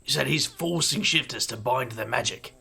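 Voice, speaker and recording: An actor playing a dry, self-deprecating street magician who also narrates the story. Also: male voice, medium pitch, expressive, normal speed, creaky, pushed and bright, breathy edge, exaggerated voice. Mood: wry. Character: wry street magician, a leader among city magicians. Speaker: first-person narrator of the story. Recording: noisy background hiss.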